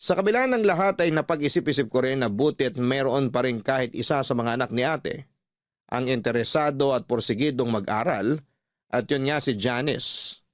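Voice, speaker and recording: A man's voice: mid-range voice, moderate pace, no room sound, studio quality, lightly acted. A man playing a responsible uncle, narrating his story earnestly as scripted radio drama. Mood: reflective, earnest.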